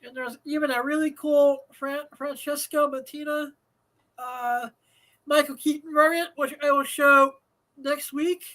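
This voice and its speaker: A man's voice: extremely high pitch, fairly even intonation, unhurried, pushed and bright. A man hosting a live show from home, talking in a rambling, profane way with many fillers, a slightly nasal voice and a dry throat. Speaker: host. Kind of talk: casual live show monologue.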